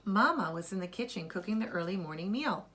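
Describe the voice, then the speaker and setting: A woman narrating a children's story as a storyteller, with deliberate, expressive delivery. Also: medium pitch.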